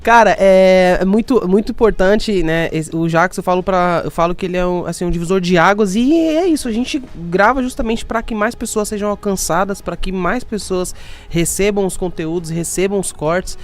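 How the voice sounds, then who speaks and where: Host de podcast, fala enrolada. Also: male voice, high voice, normal speed. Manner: rambling, repeats guest's words, many restarts. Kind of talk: casual podcast chat.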